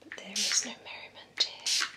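A young woman playing a poised, courteous female vampire spa beautician in a breathy, soft ASMR whisper. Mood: soothing, professional. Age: young adult. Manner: slow, soothing, formal, attentive. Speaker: ASMR performer. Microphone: close binaural microphone. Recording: close ASMR microphone with background hiss.

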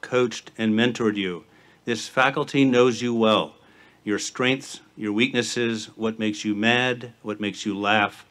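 A middle-aged man addresses a graduating class from an outdoor podium. He speaks in a measured, formal cadence, building rhythmic lists. His voice sits at an ordinary mid pitch. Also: a bit bright. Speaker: headmaster. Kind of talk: commencement address. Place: outdoor stage at a campus ceremony. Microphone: podium microphone with PA.

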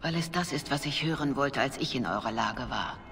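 A middle-aged priestess in a fantasy video game. She speaks with formal, measured calm and carries quiet remorse, with faint music playing behind her. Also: rumbling low, full character voice. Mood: calm, instructive. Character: composed, remorseful older Orlesian revered mother, a priestess. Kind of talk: dramatic video game voice acting.

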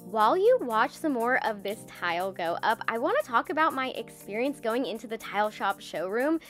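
A woman's voice: on the high side, very animated, moderate pace, a bit bright, faint music behind. Upbeat young woman narrating DIY tips. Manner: enthusiastic, upbeat and explanatory. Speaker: DIY renovation vlogger. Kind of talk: voice-over narration of a DIY project. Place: voice-over, not recorded in the pictured bathroom.

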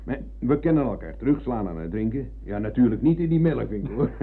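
An actor playing a forgetful old war veteran in a radio play, husky and halting as he rambles. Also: mid-range voice, animated, a little hiss or hum, lightly acted. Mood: reminiscing. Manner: hesitant, halting, peppering talk with tag questions. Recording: thin, phone-like old radio recording.

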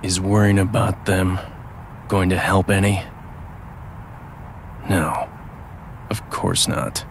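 A man playing a cold, stoic young lone-wolf warrior in a video game, terse, aloof and deadpan. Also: low-pitched, slow pace, creaky, pauses often, a little hiss or hum, heavily acted. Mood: brooding. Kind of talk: video game cutscene acting. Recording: loud music behind the voice. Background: game music.

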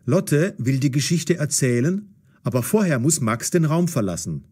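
A man's voice: on the high side, lively intonation, normal speed, dark tone, breathy edge. A man narrating a scripted story as the narrator of an audio drama.

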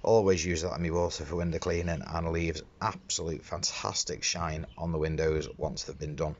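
A man's voice: sits low, little pitch movement, normal speed, a little mellow. A middle-aged man giving a casual hands-on how-to talk as a tradesman, recorded on a handheld phone in a small enclosed work space. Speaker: window cleaner demonstrating a fitting. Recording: dull phone recording with background noise.

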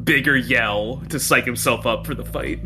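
A man's voice: medium pitch, normal speed, sharp tone, soft background music. A man playing a tabletop role-playing game, chatting in an informal, slangy way. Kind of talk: casual game chat.

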